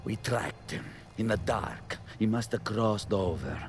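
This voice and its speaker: A man playing a deep menacing gang boss. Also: unhurried, close to an everyday voice. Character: menacing male gang boss hunting a runaway. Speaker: villain in a game cutscene. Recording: game cutscene over music score.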